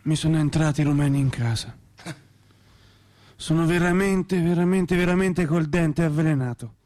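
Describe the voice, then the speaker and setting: An actor playing an aggrieved, bumbling provincial man, halting and repetitive, in comic radio theatre. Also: a little high, darkish tone, close to an everyday voice.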